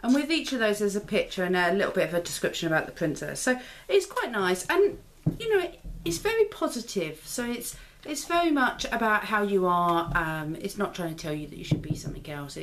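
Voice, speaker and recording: A woman's voice: medium pitch, sing-song, a little fast, hardly any pauses. A woman, giving a casual toy review at home. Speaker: parent reviewing a toy with a child. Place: living room sofa, indoors. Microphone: camera microphone at a short distance. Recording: home camera recording with faint noise.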